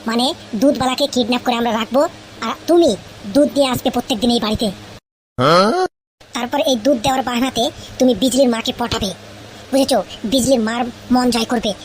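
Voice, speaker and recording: A woman's voice: a little high, steady tone, brisk, long pauses, darkish tone, a little hiss or hum, close to an everyday voice. A woman voicing a crafty, persuasive young sidekick in a comedy cartoon, coaxing and explaining. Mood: scheming. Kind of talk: exaggerated comedy cartoon dialogue.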